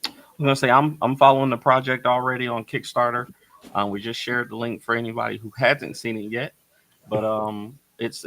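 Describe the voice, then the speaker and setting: A man co-hosting a casual podcast, speaking in a halting, supportive way as he searches for words. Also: medium pitch, vocal fry, bright, forceful tone. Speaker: podcast co-host.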